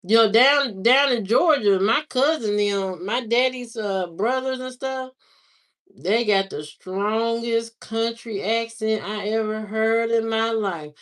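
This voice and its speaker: A woman hosting a livestream, chatting casually with viewers in a slow, drawling, musing way. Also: on the high side. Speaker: livestream host. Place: lives in Indiana, not from there.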